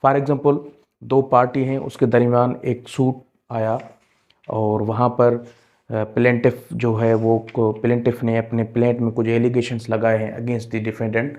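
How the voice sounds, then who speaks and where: A man teaching a law exam-prep lesson, explaining step by step and repeating key terms, slowing into careful English when he reads legal text aloud. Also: mid-range voice, a little mellow. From Pakistan. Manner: switches into slow, deliberate English when reading legal text. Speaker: law lecturer.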